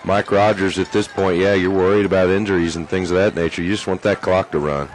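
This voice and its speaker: A man doing color commentary on a high school football game, chatty with lots of fillers, his voice worn from shouting. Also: fairly even intonation, fast, a little hiss or hum, low-quality recording. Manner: chatty, filler-heavy explaining. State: losing his voice. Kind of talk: analytical sports color commentary.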